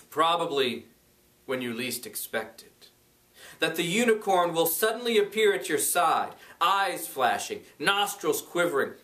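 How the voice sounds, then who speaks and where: Higher-pitched man reading in a roomy space. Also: fairly bright tone. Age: middle-aged. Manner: deliberate, dramatic, with emphatic pauses. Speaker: reader, blog creator. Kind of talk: expressive inspirational book reading. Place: small bright room indoors. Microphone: camera a few metres away. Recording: roomy echo, distant microphone.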